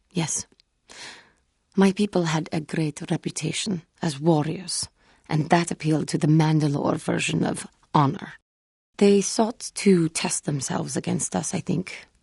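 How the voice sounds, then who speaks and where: Solemn alien cat-woman warrior, low husky voice, formal measured speech, haunted and quick-tempered, video game dialogue, dry recording. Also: darkish tone, no room sound, heavily acted. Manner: formal, measured, earnest speech with guarded intensity.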